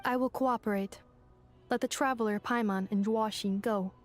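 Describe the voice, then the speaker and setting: A woman voices a composed female android in a game cutscene, with a fairly high, even voice. She speaks precisely and formally, with faint music behind. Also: moderate pace, close to an everyday voice. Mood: resolute.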